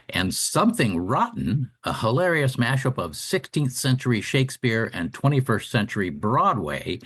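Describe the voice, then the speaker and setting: Male talk radio co-host, lower register, scripted presenter delivery. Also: fairly bright tone. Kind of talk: scripted announcing and guest interview.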